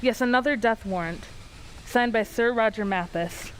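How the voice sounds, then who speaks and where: A woman's voice: on the high side, normal speed, over loud music, natural voice, low-quality recording. A woman playing an earnest, plain-spoken village sheriff in an old-time radio drama, with a grave, matter-of-fact manner. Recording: faint background noise.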